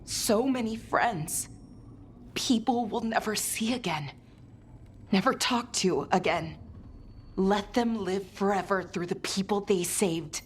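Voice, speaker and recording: An actress playing a gentle but resolute heroine worn down by corruption, speaking softly and earnestly in a fantasy video game. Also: female voice, medium pitch, slight background noise, natural voice. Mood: sorrowful, grateful. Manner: slow, soft, earnest. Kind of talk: dramatic video game acting.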